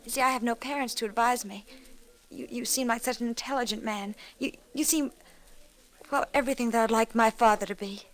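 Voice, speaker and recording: Earnest young ingenue, female actor, high pitch, hesitant and courteous, golden-age radio melodrama. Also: fairly even intonation, close to an everyday voice. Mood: shy pleading. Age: young adult. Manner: polite, halting, pleading. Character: earnest, shy young woman seeking fatherly approval. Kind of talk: 1940s-50s radio drama acting. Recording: old radio recording with music underneath.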